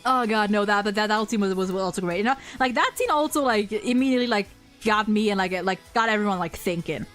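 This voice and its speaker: A woman streamer chatting casually in an animated, rambling way, emphasising points by repeating words. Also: mid-range voice, quick pace, faint music behind. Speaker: stream host. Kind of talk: casual chat, ranking game story quests.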